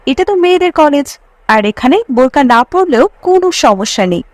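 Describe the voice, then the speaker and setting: A woman's voice: sits high, expressive, fairly quick, dry recording. A woman voicing a breezy, persuasive modern college girl in a moral-tale cartoon, casual and coaxing.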